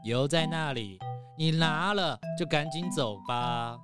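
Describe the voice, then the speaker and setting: A woman's voice: low voice, lively intonation, slow, music in the background. A woman voicing a strict, unwelcoming fox with a curt manner in a children's audio drama. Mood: curt, annoyed. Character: stern, unwelcoming fox guarding a shelter.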